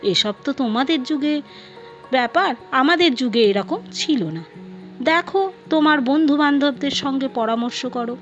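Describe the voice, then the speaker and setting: Narrator as middle-aged mother, very high female voice, indulgent, explaining, story dialogue, muffled audio. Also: lively intonation, moderate pace. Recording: dull lo-fi sound with music behind.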